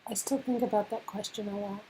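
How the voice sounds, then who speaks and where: A woman's voice: medium pitch, steady tone, fairly quick, warm tone, poor audio. A woman acting out a wistful, affectionate young woman in a romantic game story, hesitant and tender. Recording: clear background noise.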